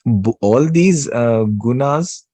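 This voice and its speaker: A man giving an unscripted spiritual talk, slow and soothing, pausing often with fillers and restarts. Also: medium pitch, animated, mellow, no room sound. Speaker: spiritual teacher.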